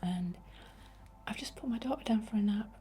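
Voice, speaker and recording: A young woman whispering breathily and gently as she guides an ASMR meditation in an informal, unhurried way. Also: mid-range voice. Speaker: ASMR host guiding a healing session.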